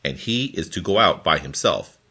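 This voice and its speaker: A man reading scripture from a formal translation in a deliberate, phrase-by-phrase manner. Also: very deep voice, expressive, sharp tone. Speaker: scripture reader.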